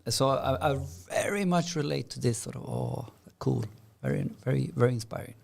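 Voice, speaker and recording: A man's voice: medium pitch, moderate pace, vocal fry, a little mellow. A man co-hosting a podcast, chatting enthusiastically, often repeating words and jumping in with questions. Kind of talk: casual conversation.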